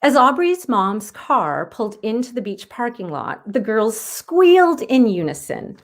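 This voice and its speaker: A woman reading a children's story aloud as narrator, in an expressive style. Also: fairly high pitch, a little slow.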